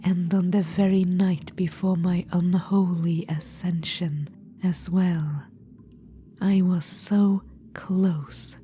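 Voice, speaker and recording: A woman voicing a cold, scheming undead witch-queen in a whispered ASMR roleplay, with a dry, mocking manner. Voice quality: whispered, soft and low. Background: music.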